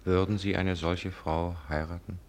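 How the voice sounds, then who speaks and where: An actor in a 1950s German radio play, playing a suspicious young secretary who speaks in a guarded, probing way. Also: male voice, low voice, flat delivery, moderate pace, a little mellow.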